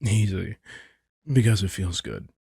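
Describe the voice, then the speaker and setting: A cocky vampire played by a man speaks in a husky, lowish voice and takes his time. He is possessive and condescending, teasing his prey like a roleplay audio villain, very close and dry on the mic. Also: a little slow, a little mellow, no echo, full character voice. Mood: relaxed, matter-of-fact. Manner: teasing, condescending, casually swearing. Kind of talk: immersive roleplay audio drama.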